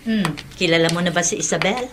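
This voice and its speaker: An actress playing a warm, motherly middle-aged aunt in a Filipino radio drama, chatty and affectionate. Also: fairly low pitch, fast, a little hiss or hum, close to an everyday voice. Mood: welcoming.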